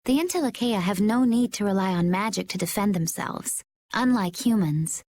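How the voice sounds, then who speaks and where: Woman playing a calm, knowing young adventurer. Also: mid-range voice, normal speed, lightly acted. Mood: calm, explaining. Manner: composed, explanatory. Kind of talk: English game dub acting.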